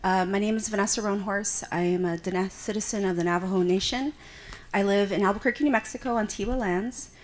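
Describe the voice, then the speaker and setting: A woman who owns a consulting firm, introducing herself in a measured, composed way on a conference panel stage. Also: medium pitch, a little hiss or hum. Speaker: company owner and panel speaker.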